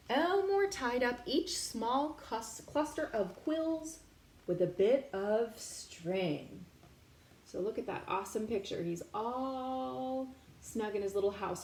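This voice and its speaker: A woman reads a storybook to young children with lively, swinging intonation and a mid-range voice. The room is noticeably echoey, with clear background noise. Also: fairly high pitch. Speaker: children's story reader, teacher. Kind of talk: expressive read-aloud for young children. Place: indoor room.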